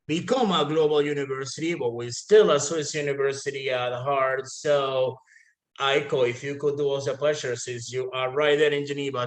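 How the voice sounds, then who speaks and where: A man hosting a webinar, introducing each speaker in a friendly way. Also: mid-range voice. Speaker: host.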